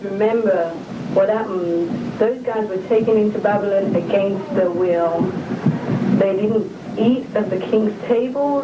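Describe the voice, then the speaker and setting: Woman, earnest, motherly lecturing tone; muffled, echoey archive recording. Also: medium pitch. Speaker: interviewee, church member. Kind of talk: earnest message to family on video. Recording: dull, echoey, distant, loud noise.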